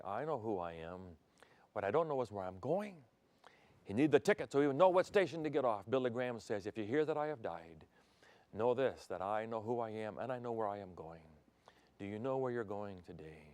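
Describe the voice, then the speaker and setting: An older man raised in Thailand, a pastor delivering a televised sermon from a studio set on a lapel mic, swinging between loud emphasis and soft, intimate passages. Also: mid-range voice, smooth, dry recording.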